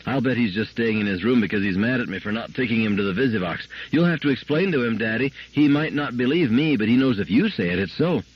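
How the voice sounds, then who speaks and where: A man reading a story aloud, voicing a little girl who begs for her lost robot friend in a high, pleading voice. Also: animated, fast, few pauses, husky, muffled recording.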